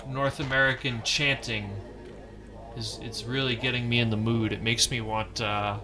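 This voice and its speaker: A man's voice: mid-range voice, level tone, moderate pace, music in the background. A man casually commenting as he plays a game, wry and self-deprecating, with half-mumbled asides. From not from Maine. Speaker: gaming streamer.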